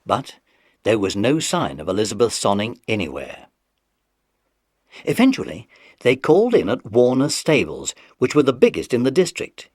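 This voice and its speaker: Very animated, husky male storyteller. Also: mid-range voice, normal speed, no room sound, clean studio sound. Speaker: narrator. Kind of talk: animated scripted children's story narration.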